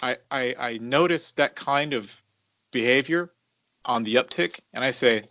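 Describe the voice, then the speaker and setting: A man in his thirties with a mid-pitched voice, recorded dry and close. He co-hosts a podcast, speaking in a halting, confessional style and circling back on phrases for emphasis. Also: sharp tone, no echo. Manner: halting, deliberate delivery with emphatic repetition. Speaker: podcast co-host. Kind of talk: reflective, confessional conversation.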